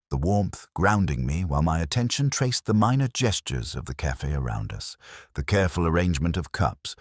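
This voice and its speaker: A man with an extremely deep voice narrates detective fiction in the first person. His delivery is deliberate and literary, with lively rises and falls in pitch. The sound is dry, free of room echo. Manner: measured, literary, deliberate phrasing. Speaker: audiobook-style narrator.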